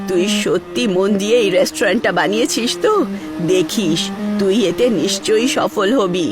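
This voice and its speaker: A woman's voice: medium pitch, moderate pace, pitched below the performer's own voice, lightly acted. A woman voicing a tender, proud village mother in a cartoon, affectionate and soothing. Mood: tender pride. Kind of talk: cartoon character acting. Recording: music behind the voice.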